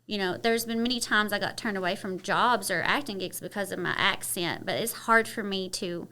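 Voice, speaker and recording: A woman's voice: medium pitch, normal speed, bright, forceful tone. A woman from Kentucky with a Southern twang, chatting openly as a podcast guest and using many "you know" fillers. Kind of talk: casual interview chat.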